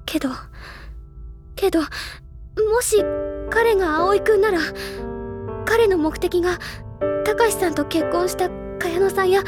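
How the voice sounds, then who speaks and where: A woman playing an excitable, bookish schoolgirl senior in an anime audio drama, with a girlish, expressive delivery. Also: very high-pitched, a little slow, pauses often, music in the background. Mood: earnest, pondering.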